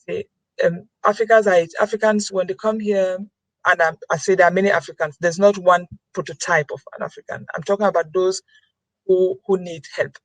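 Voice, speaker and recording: A woman's voice: on the low side, steady tone, a little fast, no echo, poor audio. A woman giving an earnest webinar talk, repeating key phrases for emphasis over a computer mic. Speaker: webinar presenter.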